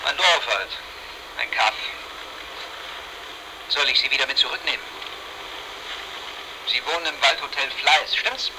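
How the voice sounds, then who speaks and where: An actor playing an inquisitive, outwardly helpful middle-aged village driver who keeps insisting, in a naturalistic radio drama. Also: male voice, medium pitch, measured pace, pauses often, background noise, lightly acted. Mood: busily helpful. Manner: insistent, questioning.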